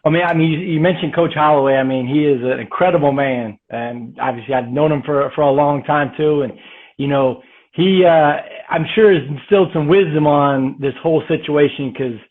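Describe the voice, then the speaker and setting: A man's voice: on the high side, moderate pace. A man hosting an interview, a coach who asks easygoing questions and keeps affirming his guest over a video call. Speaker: host and coach. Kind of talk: casual interview chat. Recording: phone-like call audio.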